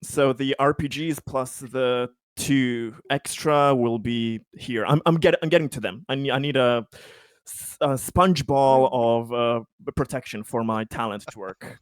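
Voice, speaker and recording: A man's voice: mid-range voice, a little slow, clean tone, no room sound. A man playing a tabletop roleplaying game, chatting casually and stammering through repeats as he reasons out his moves aloud.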